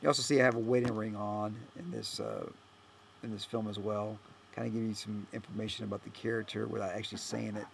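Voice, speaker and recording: A man giving a casual, unscripted director's commentary as a filmmaker, rambling and halting as he goes, often trailing off. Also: lowish voice, lively intonation, creaky, slight background noise. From United States.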